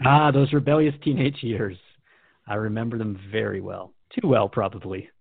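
A man's voice: fairly low pitch, steady tone, normal speed, gravelly, husky, no room sound, phone-quality sound. A man hosting a radio drama conversation, asking questions in an inquisitive, conversational way. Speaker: radio show host.

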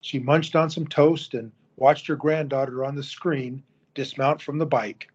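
A man narrating an audio drama in a steady storytelling manner. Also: mid-range voice, measured pace, husky. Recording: dull lo-fi recording.

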